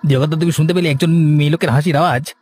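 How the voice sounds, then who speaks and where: A man's voice: a little high, fairly even intonation, rapid-fire, darkish tone, slightly rough. Man playing a nervous, evasive cartoon henchman. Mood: uneasy, evasive. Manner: hesitant, evasive. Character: nervous village henchman, evasive guilty accomplice to a killing. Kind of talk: cartoon drama acting.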